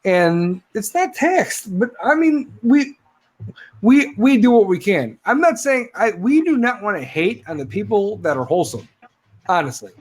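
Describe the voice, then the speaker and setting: A man in his late thirties hosts a casual live stream, swearing constantly as he rants. His voice sits a little higher than most men's. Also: very animated, measured pace, darkish tone, breathy edge. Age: 30s. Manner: profane, heated rant. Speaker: podcast host.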